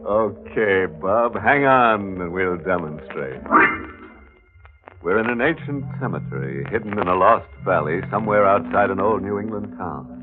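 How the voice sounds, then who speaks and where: A man playing a sardonic, macabre host of a 1940s radio horror show, speaking in a theatrical, mock-sinister way with drawn-out words. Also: low voice, lively intonation. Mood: teasing menace. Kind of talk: 1940s radio mystery narration. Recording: muffled vintage 1940s radio recording. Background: music.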